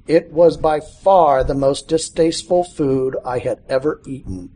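A middle-aged man reading his own personal story aloud in a measured way, now and then stumbling over a word. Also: fairly high pitch, warm tone, slight background noise.

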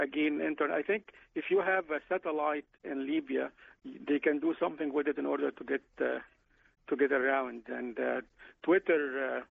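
Radio guest from Egypt on a phone line, gravelly, slightly high voice, lots of uh's. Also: male voice, no room sound. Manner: halting, many "uh" fillers and restarts. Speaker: guest economics professor.

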